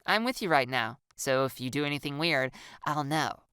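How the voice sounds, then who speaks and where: A woman voicing a playful, excitable young woman with a bubbly, teasing, theatrical manner in an audiobook story. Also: deep voice, moderate pace, smooth, no echo, studio quality. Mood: cheeky, coaxing. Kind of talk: audiobook character dialogue.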